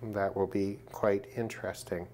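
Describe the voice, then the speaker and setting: Man, low voice, measured delivery, informal hobby demo, thinking aloud, noticeable background noise. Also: level tone, a little mellow. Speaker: hobbyist demonstrating a shortwave radio test. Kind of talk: informal hands-on gear demonstration. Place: indoors at a work desk.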